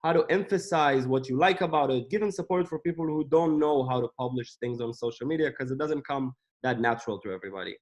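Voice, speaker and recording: A man, a company co-founder, explaining his business in a relaxed, casual interview. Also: mid-range voice, fast talker, hardly any pauses.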